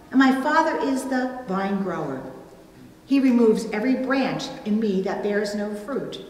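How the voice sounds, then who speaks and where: Heard from a distance in a heavily echoing church, a middle-aged woman preaches a prepared sermon. She speaks slowly, in measured phrases, with faint noise behind her. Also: medium pitch. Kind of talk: scripted sermon. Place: church sanctuary at a wooden pulpit, indoors. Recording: very echoey hall, distant mic, faint noise.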